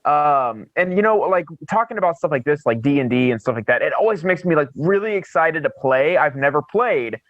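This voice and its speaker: A man with a fairly high voice hosts a casual online podcast, eager and informal. The sound is dry and a little muffled, like call audio. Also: expressive, fairly quick, few pauses, no room sound. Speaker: podcast host. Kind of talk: casual chat.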